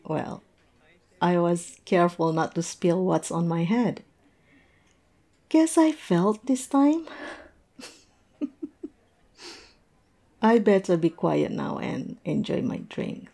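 A woman playing a tipsy, observant coworker in a conversational audio roleplay, musing and teasing in a halting way. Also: lowish voice, lively intonation, creaky, dark tone, exaggerated voice. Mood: tipsy, playful. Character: tipsy, observant young woman chatting with coworkers over drinks.

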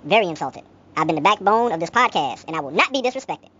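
A man's voice: high voice, expressive, quick pace, a bit bright, lo-fi sound, pitched above the performer's own voice. A man putting on the voice of an indignant, sassy woman co-host who talks in a huffy singsong.

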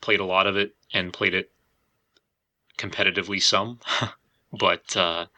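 A man's voice: deep voice, level tone, measured pace, bright, forceful tone. A man hosting a podcast, talking casually and feeling out his phrasing as he goes. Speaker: podcast host.

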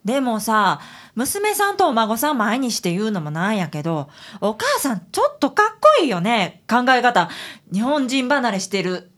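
A frank, inquisitive detective's assistant, acted by a woman, with a Kansai accent. Her voice is fairly high and animated, and she talks casually in radio-comedy style. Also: moderate pace, few pauses. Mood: curious. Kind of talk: radio comedy drama acting.

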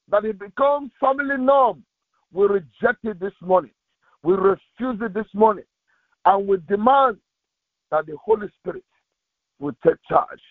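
A man's voice: very high voice, dry recording. A man preaching and leading fervent prayer as a pastor, insistent and repetitive, delivering it phrase by phrase. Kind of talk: fervent Pentecostal prayer sermon with exhortation. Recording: thin, phone-like radio sound.

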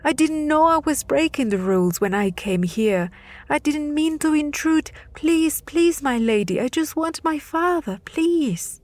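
A woman's voice: fairly low pitch, takes it slowly, a little mellow, dry recording. A woman voicing a frightened young boy who stammers and pleads in a storybook. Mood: pleading.

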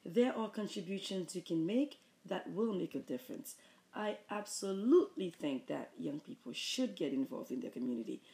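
A woman with a Haitian accent, a mother and teacher, giving earnest, measured answers in a family interview. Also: medium pitch, gravelly, warm tone. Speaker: mother, high school teacher. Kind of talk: reading prepared interview answers.

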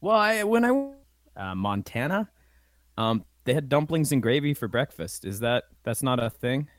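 A man's voice: medium pitch, sing-song, moderate pace, no room sound, studio quality. A man co-hosting a podcast, chatting casually and playfully. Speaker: podcast co-host. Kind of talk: casual chat.

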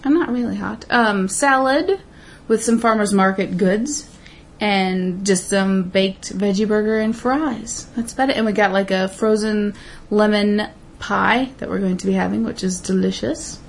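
A woman with a raspy voice co-hosting a casual podcast, chatting and giving reflective life advice. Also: mid-range voice, measured pace, slight background noise. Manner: chatty, reflective, laughs easily. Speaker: podcast co-host. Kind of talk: casual chat and advice segment.

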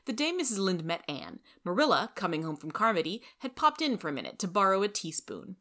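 Bright-voiced woman narrating a story. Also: medium pitch, huge pitch swings, brisk.

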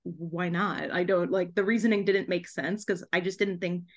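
A woman talking casually as an interview guest, chatty and animated, often interrupting herself mid-thought. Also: lowish voice, normal speed. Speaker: podcast guest, debut author.

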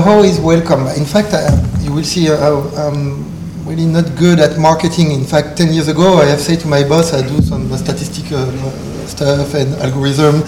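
Man, French accent, panelist, halting speech with fillers, higher pitch, echoey hall, faint noise. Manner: halting non-native English with many filler uhs. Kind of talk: conference panel talk. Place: conference hall.

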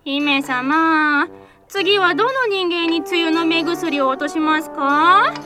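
A woman's voice: very high-pitched, slow. A woman voicing a loyal servant of a rain-spirit princess, blunt and dismissive toward humans, in a voice drama. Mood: eager. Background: background music.

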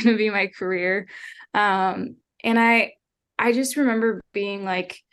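A young woman from Pennsylvania, a guest on a video call, chatting casually and laughing lightly as she talks. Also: medium pitch. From Pennsylvania, United States. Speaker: guest, social media manager. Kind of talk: casual interview chat.

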